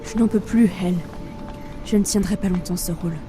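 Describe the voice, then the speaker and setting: A woman's voice: medium pitch, fairly even intonation, brisk, close to an everyday voice. A woman playing Death as a weary reaper burdened by the role, in a grave, theatrical audio drama monologue. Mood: weary, brooding. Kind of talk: narrated audio fiction, dramatic first-person monologue. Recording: music behind the voice.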